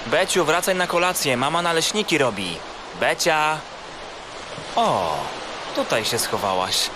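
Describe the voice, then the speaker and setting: An actor playing a kind, easygoing older brother, gentle and curious, in a children's audio drama. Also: male voice, on the high side, very animated, measured pace, background noise. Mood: caring, gentle.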